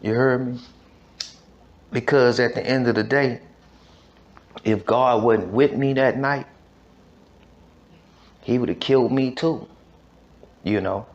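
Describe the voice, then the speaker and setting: A man's voice: mid-range voice, fairly even intonation, takes it slowly, long pauses, dull audio. An American man telling a personal story casually, in an emphatic, repetitive way full of street slang and check-in phrases. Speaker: podcast storyteller.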